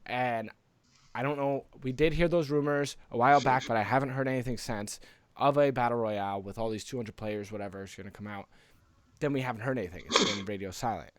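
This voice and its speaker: An American man co-hosting a podcast, chatting casually and haltingly, often repeating himself. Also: medium pitch, dry recording. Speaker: podcast co-host. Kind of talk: casual podcast chat about news and games.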